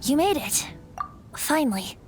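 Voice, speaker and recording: A woman's voice: high voice, sing-song, measured pace. A woman voicing a boyish, playful wind spirit bard in a video game, with a light, youthful, lilting delivery. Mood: sheepish. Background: music.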